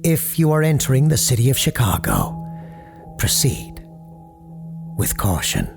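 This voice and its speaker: A man's voice: mid-range voice, huge pitch swings, a little slow, creaky, music in the background, exaggerated voice. A man playing a courteous, grave parapsychologist who hosts a late-night paranormal radio show, speaking formally and with ominous understatement in an audio drama.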